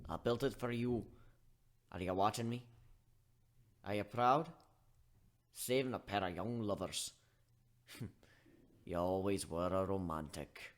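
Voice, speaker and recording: A man's voice: deep voice, takes it slowly, long pauses. A man voicing a big-hearted giant minotaur with a blunt, folksy, bossy way of talking. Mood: wistful, grieving. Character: gruff, big-hearted giant male minotaur airship crewman.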